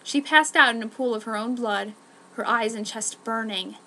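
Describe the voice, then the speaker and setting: A woman's voice: fairly high pitch, moderate pace, sharp tone, low-quality recording. A woman giving a dramatic scripted reading as an amateur story narrator. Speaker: amateur fan fiction narrator.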